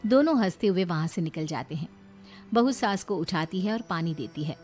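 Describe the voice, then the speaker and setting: A woman's voice: on the low side, expressive, moderate pace, a little mellow, faint music behind. A woman reading scripted narration for a family moral story, as a storyteller.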